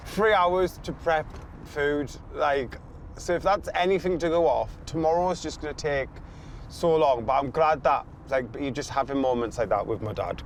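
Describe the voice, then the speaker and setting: A man's voice: a little high, moderate pace, slight background noise. Chatty young Northeast English man. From northeast England. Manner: chatty, playful, loud exclamations. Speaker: reality show star. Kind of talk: casual chat and confessional voice-over.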